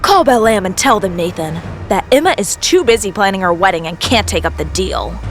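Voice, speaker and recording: A woman's voice: mid-range voice, expressive, brisk, natural voice. A woman playing a jealous, indignant young rival, scheming and demanding, in a melodramatic audio series. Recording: music bed under the voice.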